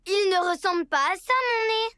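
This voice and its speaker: A performer voicing a playful little girl mouse in a French preschool cartoon, speaking in simple, expressive, childlike phrases that can turn easily put out. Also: very high voice, fairly quick. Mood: amused.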